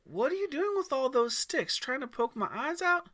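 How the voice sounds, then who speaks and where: A man's voice: very high voice, sing-song, normal speed, sharp tone, voice pushed up, full character voice. A man voicing an amused, mildly chiding but caring dad in a storybook tale. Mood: amused scolding. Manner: teasing, parental.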